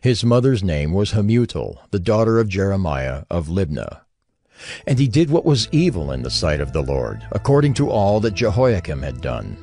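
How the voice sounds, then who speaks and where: Male narrator for scripture audio drama, very deep, formal and measured with expressive melody, music underneath. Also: no echo.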